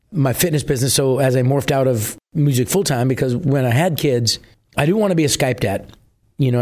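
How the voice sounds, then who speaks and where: A middle-aged man from New Jersey, a musician guest with a husky voice, chatting casually and rambling with many fillers. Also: medium pitch, a little mellow. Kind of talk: casual interview chat.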